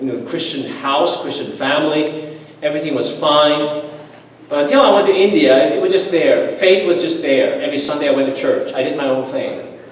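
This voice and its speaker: Man, church seminar speaker, animated storytelling, restarts, very echoey hall, far from the mic. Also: mid-range voice. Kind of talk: personal testimony talk.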